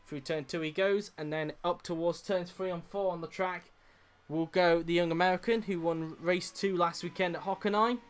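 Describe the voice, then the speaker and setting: A man with a croaky voice, giving steady play-by-play commentary on a sim racing qualifying session. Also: high-pitched, moderate pace. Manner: steady lap-by-lap play-by-play with frequent "uh" fillers.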